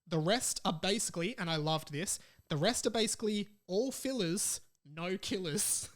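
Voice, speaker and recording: A man's voice: sits high, expressive, moderate pace, fairly bright tone. A young adult man with an Australian accent chats casually and informally as he hosts his solo podcast. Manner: informal and chatty, reads out listener messages. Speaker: podcast host.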